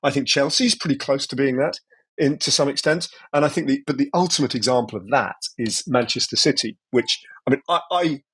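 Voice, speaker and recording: British man, husky voice, conversational podcast guest, opinionated, rambling with restarts. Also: medium pitch, a bit bright. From Britain. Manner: opinionated, digressive, many restarts and "you know". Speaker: podcast guest, former football commentator. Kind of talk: casual conversational interview.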